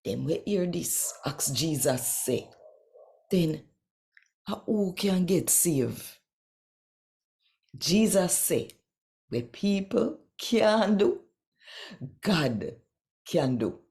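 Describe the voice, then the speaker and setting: Low-pitched, expressive Jamaican woman reading aloud. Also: creaky. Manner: deliberate, dramatic storytelling delivery in Jamaican Patois. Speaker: channel host and Bible reader. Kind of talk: expressive scripture reading and direct address to viewers.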